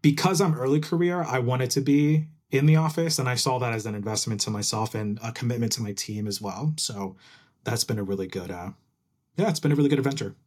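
Young man, from Puerto Rico, husky voice, enthusiastic, casual interview. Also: mid-range voice, brisk, darkish tone. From Puerto Rico, raised in New Jersey. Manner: enthusiastic, often ends with "right?". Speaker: interview guest, program manager.

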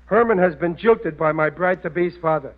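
An actor playing a good-natured, gullible, middle-aged working-class American husband who blusters ungrammatically in a 1940s radio comedy. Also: male voice, fairly high pitch, normal speed, close to an everyday voice. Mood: bewildered. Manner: blustery, ungrammatical everyman talk. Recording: muffled vintage radio recording.

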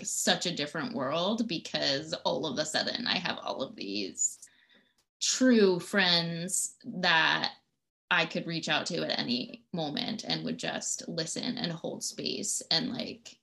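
Woman, thirties, podcast guest, bright voice, enthusiastic, laughs a lot. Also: low-pitched, lively intonation, slow, vocal fry. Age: 30s. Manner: enthusiastic, laughs between phrases, "like" fillers. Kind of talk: casual chat.

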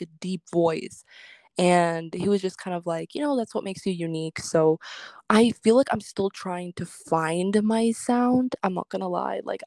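A woman's voice: on the low side, a little mellow, no room sound. A woman being interviewed as a singer and online creator, answering in a chatty, loose way with many fillers. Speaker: guest singer and social media creator.

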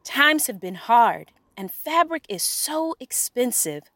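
A woman's voice: fairly high pitch, big pitch swings, slow pace, fairly bright tone, no echo, exaggerated voice. A woman playing a kind, poor village dressmaker and mother in a children's story, welcoming and earnest. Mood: friendly, chatty. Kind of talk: children's story podcast character acting.

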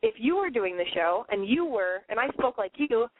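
A woman's voice: on the high side, big pitch swings, moderate pace, dry recording. A young woman with a cold hosting a call-in radio show, talking in a nasal valley-girl way, blunt and profane. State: has a cold. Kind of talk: casual call-in radio chat. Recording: tinny phone-line sound.

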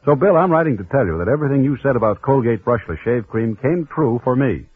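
A man's voice: mid-range voice, expressive, quick pace, breathy edge, no echo. A man reading a folksy testimonial letter in a 1940s radio advertisement. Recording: dry, tinny old radio broadcast.

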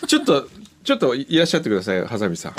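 A woman's voice: very deep voice, huge pitch swings, racing, a little hiss or hum. A woman co-hosting a casual radio chat, teasing and asking nosy questions. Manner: teasing, inquisitive. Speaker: radio show co-host.

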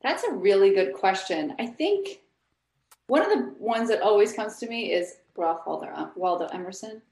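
A woman's voice: medium pitch, animated, normal speed, gravelly, darkish tone, roomy sound. A woman guest on a podcast, a musician, chatting in a friendly, easygoing way. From Los Angeles. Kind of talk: casual interview chat.

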